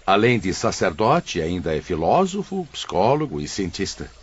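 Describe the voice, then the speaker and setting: Audio drama: benevolent Brazilian spirit mentor played by a man, formal and fatherly, very low husky voice. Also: very animated, full character voice. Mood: warm, reassuring. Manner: formal, measured, paternal. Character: Brother Teócrito, solemn benevolent male spirit mentor. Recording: dull, muffled lo-fi audio.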